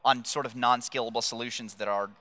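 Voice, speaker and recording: A male interviewer on a conference stage with a bright, sharp-edged voice at medium pitch. He assembles long questions in halting pieces, restating as he goes. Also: fairly even intonation. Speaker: interviewer, moderator.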